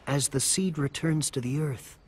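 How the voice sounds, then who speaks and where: An actor playing a devout monk in a video game, solemnly and reverently reciting with a husky voice. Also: male voice, on the high side, normal speed, a little mellow. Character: dying, devout monk father giving a blessing. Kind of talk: scripted video game dialogue.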